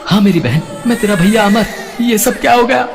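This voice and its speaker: A man voicing a protective, stern older brother in an animated melodrama, speaking emphatically and emotionally. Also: sits high, brisk, vocal fry, big-room sound, soft background music, pitched above the performer's own voice. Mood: shocked. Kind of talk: melodramatic animated story acting.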